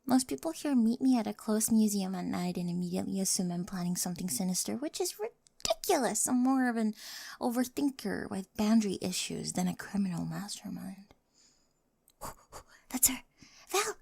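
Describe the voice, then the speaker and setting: Woman playing a creaky-voiced, smitten, quirky teenage girl. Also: medium pitch, animated, normal speed, a little mellow, no room sound, clean studio sound. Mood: excited, conspiratorial.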